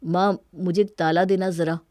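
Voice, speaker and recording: A woman's voice: on the low side, flat delivery, a little slow, a little mellow, no room sound, clean studio sound, natural voice. A woman voicing a suspicious, bitter young husband in a cartoon family story. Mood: insistent. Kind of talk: Hindi cartoon moral-story voice acting.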